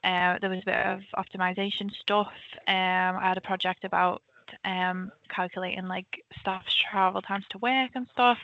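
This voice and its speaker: A woman in her twenties with a Liverpool accent, a government analyst giving a chatty, informal career talk at a quick clip. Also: medium pitch, little pitch movement, bright, forceful tone, no echo, lo-fi sound. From Liverpool, England. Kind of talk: casual webinar presentation.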